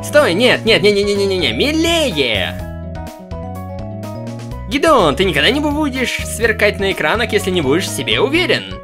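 A man's voice: high-pitched, wildly swooping pitch, normal speed, over music. A man voicing a bossy, perky girl from a visual novel, insistent and enthusiastic. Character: bossy, perky girl filming forest adventures.

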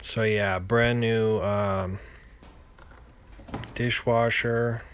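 A man in his thirties, a landlord giving a casual, halting, unscripted walkthrough voiceover. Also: on the low side, steady tone, a little hiss or hum. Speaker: landlord and home renovator.

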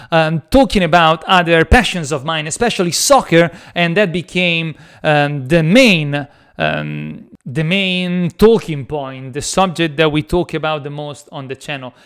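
A man's voice: on the high side, smooth. Italian-accented man, casual home vlog. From Italy. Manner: animated, gestures a lot, frequent ums and restarts. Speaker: vlogger. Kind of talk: casual personal-development vlog. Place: small home studio room. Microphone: large studio mic on boom arm.

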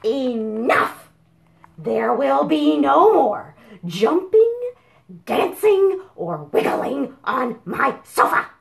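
A woman voicing a flustered, indignant chicken who scolds loudly in a children's storybook reading. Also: high voice, big pitch swings, drawn-out words, creaky, pitched above the performer's own voice, exaggerated voice. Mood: furious. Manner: loud exclamations, scolding.

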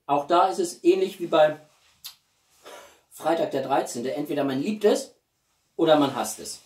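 Guy in his 40s doing a chatty vlog, bit halting, roomy echo, mic kinda far off. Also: fairly high pitch, a little mellow. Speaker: video blogger. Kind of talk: casual chatty vlog presenting gifts. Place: small living room, indoors. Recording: roomy echo, distant microphone.

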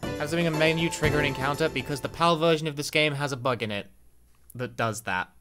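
A man's voice: a little high, quick pace. A young man giving casual live gameplay commentary, thinking aloud in a wry, sweary way. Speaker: streamer, speedrunner. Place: indoors, at a desk with webcam. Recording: loud game music behind. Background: video game music.

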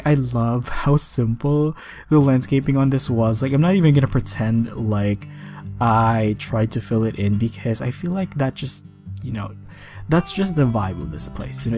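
Casting: young male gaming creator, slight rasp, chatty and upbeat casual voice-over, clear guitar music bed underneath. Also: medium pitch, a little mellow. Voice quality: slightly raspy. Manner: chatty, enthusiastic. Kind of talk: casual voice-over commentary on a game speed build.

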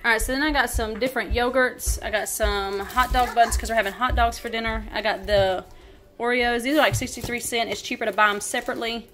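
A woman's voice: fairly high pitch, steady tone, fairly quick, a bit bright. A woman in her thirties chatting casually at home about her groceries, full of ums and likes, filmed on a handheld phone. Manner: chatty, many ums and likes, listing items. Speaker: vlogger. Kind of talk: casual grocery haul narration. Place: home kitchen, indoors.

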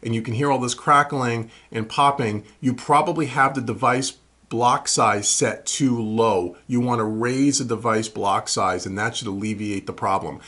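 Male tutorial host, 40s, husky medium-pitched voice, conversational explainer, casual Q&A delivery. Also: normal speed, a bit bright. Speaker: host of a home recording tutorial Q&A show. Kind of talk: casual explanatory talk to camera, answering viewer questions. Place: small furnished room at home, indoors.

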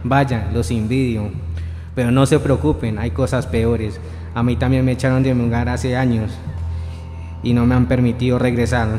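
Devilish vagabond tempter , male actor, radio play. Grandiose, persuasive, monotone delivery, with music underneath. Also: medium pitch, close to an everyday voice. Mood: mysterious, wry. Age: young adult. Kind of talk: student radio drama reading. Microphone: shared handheld microphone. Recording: echoey hall-like room, music behind.

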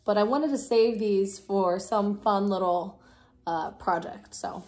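A woman in her thirties hosting a casual vlog, raspy and low on energy from a cold, chatting with many fillers in her living room. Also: slow, noisy background. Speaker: vlog host. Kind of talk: casual chat to camera.

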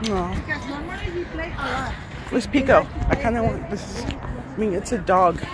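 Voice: medium pitch, measured pace. A vlogger chatting off the cuff in a slangy, musing way and talking to dogs, recorded on a handheld phone outdoors. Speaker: vlogger filming own dog outing. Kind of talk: casual off-the-cuff vlog chat. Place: outdoor dog park. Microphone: handheld phone behind the camera. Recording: noisy open-air park with bystander chatter.

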